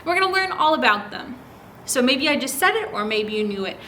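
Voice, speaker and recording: A woman's voice: sits high, big pitch swings, a little fast, pushed and bright, slight background noise. A woman hosting a story time for young children, reading aloud in a teacherly way and asking them lots of direct questions. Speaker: children's story time host at a public library.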